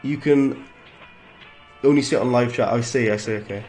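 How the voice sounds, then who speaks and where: A man's voice: medium pitch, moderate pace, darkish tone, faint music behind. Chatty Welsh man streaming a game. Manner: chatty, reacts aloud to the game, greets viewers by name. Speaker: live streamer. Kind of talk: live gameplay commentary and chat replies.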